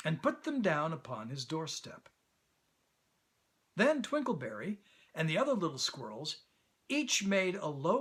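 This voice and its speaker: A man reading a children's story as an actor, in expressive, deliberate storybook narration. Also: fairly high pitch, breathy edge.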